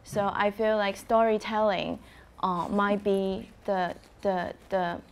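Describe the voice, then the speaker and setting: A woman's voice: medium pitch, fairly even intonation, slow pace, smooth. Reflective woman from China. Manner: reflective, many "like"s, laughs between thoughts. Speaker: playwright. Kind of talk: panel introduction.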